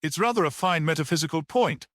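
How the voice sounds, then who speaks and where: A man voicing a mild, perceptive priest-detective in a story, grave, measured and almost dreamy as he reasons. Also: on the high side, animated, a bit bright, dry recording, studio quality, pitched above the performer's own voice. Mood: grave, musing.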